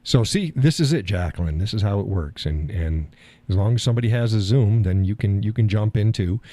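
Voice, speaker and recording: A man hosting a live call-in stream, talking in a calm, easygoing way and chuckling often. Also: on the low side, brisk, no room sound. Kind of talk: casual chat.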